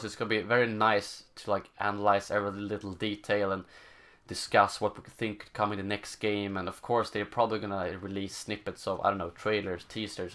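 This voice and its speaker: Swedish-accented young man, halting live-stream chat. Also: lowish voice, fairly bright tone. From Sweden. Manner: halting, searching for words, many fillers. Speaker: gaming channel host.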